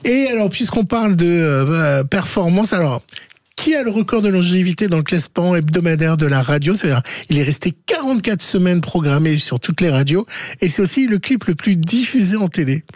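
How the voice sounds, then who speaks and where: A man, a columnist on a live radio talk show, lively and quizzing the others on music facts. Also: high-pitched, brisk, no echo, studio quality.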